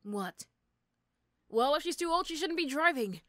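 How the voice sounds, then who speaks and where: A woman playing an irritable, sarcastic female supervillain in a roleplay audio drama, snappy and condescending but gruffly caring. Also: high-pitched, animated, measured pace, bright, forceful tone, no room sound, studio quality, full character voice. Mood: angry, exasperated.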